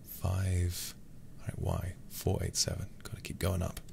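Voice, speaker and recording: Male game streamer, ASMR style: deep and fry-laden, breathy, hushed muttering with casual profanity. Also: completely flat delivery, measured pace, background noise. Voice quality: breathy, often whispered. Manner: hushed, muttering to himself, sweary. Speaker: livestreamer. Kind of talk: ASMR horror game livestream, casual commentary.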